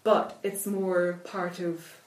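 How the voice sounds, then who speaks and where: Low-voiced Italian woman, thoughtful and hesitant. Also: little pitch movement, slow pace, far from the mic. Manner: thoughtful, hesitant with many fillers and restarts. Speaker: literature academic. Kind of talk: conversational interview. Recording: echoey room, off-mic.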